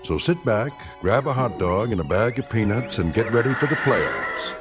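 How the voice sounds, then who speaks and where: A man giving measured, ominous scripted narration for a radio drama. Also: on the low side, animated, faint music behind, natural voice.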